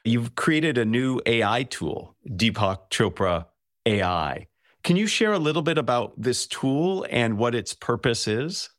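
A man's voice: medium pitch, animated, a little slow, dry recording, studio quality. A man hosting a podcast, asking his guest questions in an appreciative, inviting way. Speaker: podcast host. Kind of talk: podcast interview.

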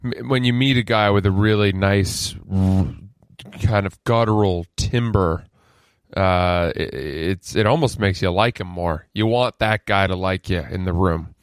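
Low-voiced man musing in casual chat. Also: measured pace, smooth, dry recording. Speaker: podcast co-host.

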